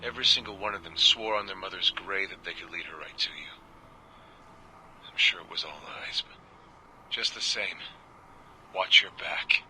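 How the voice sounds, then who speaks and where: An actor playing a world-weary government agent, confiding troubled reports in measured video game audio logs. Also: male voice, low voice, bright, forceful tone, a little hiss or hum, rough recording. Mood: grim warning.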